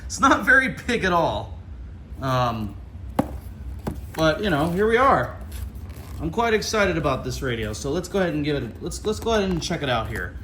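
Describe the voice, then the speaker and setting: Bright-voiced, higher-pitched American man. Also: big pitch swings, normal speed, long pauses, slight background noise. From Ohio, United States. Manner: enthusiastic, informal. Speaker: vlogger. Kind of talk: casual hands-on product unboxing commentary. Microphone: handheld camera.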